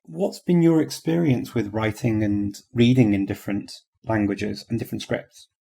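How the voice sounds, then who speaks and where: A man's voice: lowish voice, normal speed, vocal fry, mellow, husky. A male podcast host asking conversational interview questions and giving frequent short backchannels.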